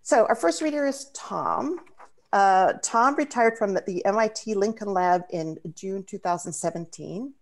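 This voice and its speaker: A woman's voice: medium pitch, lively intonation, unhurried. A woman from the Dominican Republic, a native Spanish speaker, hosting a video call event in a thoughtful way with frequent fillers and restarts. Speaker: host.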